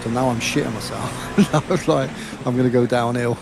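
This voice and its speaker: Excitable streamer guy, mid pitch, chatty, noticeable background hiss. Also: fairly quick. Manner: chatty, excitable, says mate a lot. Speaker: sim racer streaming. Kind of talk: casual chat.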